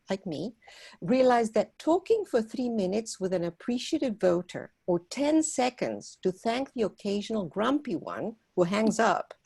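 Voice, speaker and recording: A woman from Argentina, a volunteer organizer, giving an earnest prepared talk at a meeting and pausing often on fillers. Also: on the high side, gravelly, mellow, breathy edge. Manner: earnest, persuasive, with frequent filler pauses.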